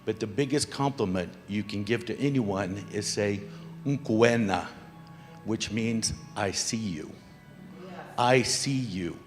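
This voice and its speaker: A middle-aged man from the Congo speaks earnestly from the audience, his voice on the lower side. He is reflective and unhurried in his remarks. The sound carries the long reverb of a large hall. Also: faint music behind. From Democratic Republic of the Congo. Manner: earnest, reflective, quotes proverbs. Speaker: audience member asking from the floor. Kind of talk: public remarks at a panel event.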